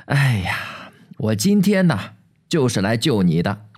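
A man voicing a drunken, boisterous old village chief who teases loudly and cackles. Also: mid-range voice, big pitch swings, measured pace. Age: older. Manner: loud, boisterous, teasing, cackling.

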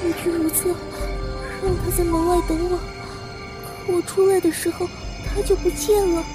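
A woman's voice: very high-pitched, flat delivery, normal speed. A woman acting a timid, frightened young servant girl in an audio drama, whimpering, stammering and pleading. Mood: scared, lost. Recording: music behind the voice.

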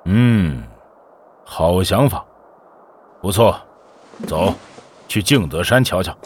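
A man playing a calm, hearty ageing master painter in a Chinese period audio drama. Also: deep voice, takes it slowly, a little hiss or hum. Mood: approving. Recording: music behind the voice.

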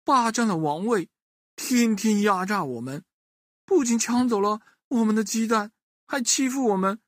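A man's voice: a bit bright, no echo, studio quality. A man voicing a frightened mother hen who pours out her complaints in a raised, pleading voice. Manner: rapid, pleading, high-pitched.

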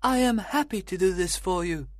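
Man playing a meek, polite orphan boy, voice pitched way up, storybook read-aloud. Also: normal speed, dry recording, studio quality, close to an everyday voice. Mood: pleased. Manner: earnest, polite. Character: gentle frightened young orphan boy. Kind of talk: storybook reading.

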